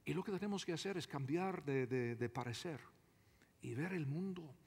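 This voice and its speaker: A man preaching a sermon as a pastor, emphatic and deliberate, often repeating his phrases. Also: a little high, a little mellow, breathy edge. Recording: reverberant hall with long echo.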